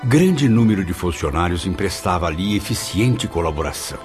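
A man's voice: deep voice, animated, a little fast, music in the background. A man with a Brazilian accent narrating a scripted radio drama in a formal, literary manner.

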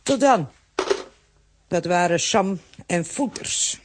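A woman playing a brisk, bossy, excitable aunt in a children's audio drama, speaking in an exclamatory manner. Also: on the low side. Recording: noisy, clicky old audio recording.

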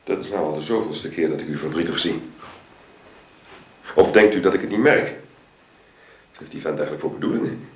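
An actor playing a protective, quick-tempered widowed father, gruff and blustering. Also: rumbling low, echoey room. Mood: angry.

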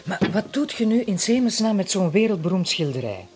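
A woman plays a down-to-earth Flemish wife in a radio play. She is affectionate and teasing, with an animated, colloquial delivery over music. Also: mid-range voice, a little fast, close to an everyday voice. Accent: Flemish Belgian. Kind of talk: naturalistic radio drama acting.

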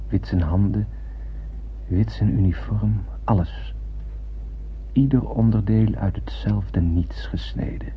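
Earnest young spaceship sailor, muffled recording. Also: male voice, fairly low pitch. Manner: earnest, poetic, literary delivery. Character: eager young male spaceship sailor, awestruck and poetic. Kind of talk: radio drama acting. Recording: muffled radio play, clear background hum.

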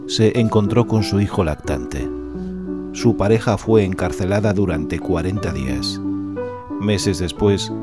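An actor playing a sober narrator reading scripted narration in a radio drama. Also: male voice, fairly low pitch, natural voice. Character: sober male narrator recounting an unjust arrest. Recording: loud guitar music behind.

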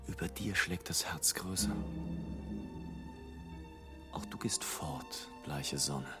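Deep slow exalted balloonist, theatrical poetic radio play monologue, music behind. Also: male voice. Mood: hushed awe. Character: exalted male airship pilot, wildly agitated, then awestruck and solemn. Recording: 1980s radio drama production with music.

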